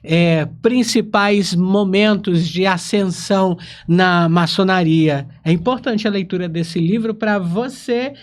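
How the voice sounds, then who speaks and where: A man's voice: sits high, slow, hardly any pauses. A man with a Brazilian accent hosting a podcast in a casual, chatty way. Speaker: podcast host.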